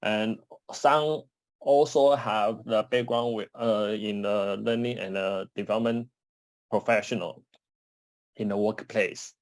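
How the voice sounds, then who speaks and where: Guy from taiwan giving a slide talk on a webcam, lowish voice, lots of uh and restarts, dry sound. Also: steady tone, no echo. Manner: halting, many uh fillers and restarts. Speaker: conference presenter. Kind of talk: conference talk over slides. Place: home office or desk, indoors. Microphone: webcam on a video call.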